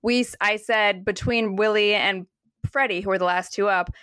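A woman's voice: mid-range voice, steady tone, moderate pace, fairly bright tone, smooth, no room sound. A woman co-hosting a baseball fan podcast, chatting casually in a frank, matter-of-fact way. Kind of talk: casual chat.

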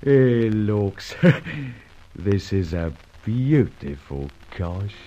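A dull-sounding old radio serial recording. a loyal Cockney small-time crook, deferential and chirpy. His pitch bounces up and down with a lot of life. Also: very animated, extremely slow, warm tone, full character voice. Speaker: actor.

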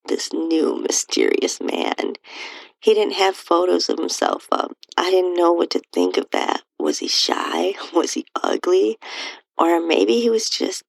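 A woman with a breathy, husky voice reading a first-person erotic story in a soft, intimate, near-whispered delivery. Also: fairly low pitch, measured pace, no room sound, rough recording. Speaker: podcast host narrating own stories.

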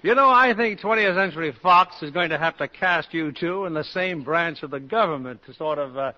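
A man's voice: a little high, fairly quick, bright, forceful tone. A man hosting a 1950s radio theatre show in a polished, courteous showman style. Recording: dull 1950s radio broadcast recording.

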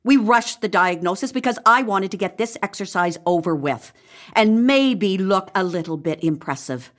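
An actress plays a weary, defiant female healer in an audio comedy, her voice mid-pitched. She is dry, blunt and firm. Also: lively intonation, normal speed, lightly acted. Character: exasperated, defiant female royal physician. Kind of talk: audio comedy drama acting.

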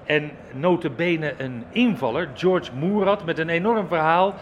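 A man's voice: fairly high pitch, measured pace, dull audio, a little hiss or hum. A man working as a football reporter, giving live match commentary for radio.

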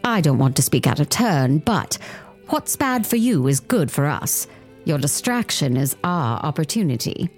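A woman's voice: low voice, sing-song, normal speed, a little mellow, faint music behind. A woman acting in an audio drama as a sly, self-serving schemer, with a languid, mocking drawl on her words.

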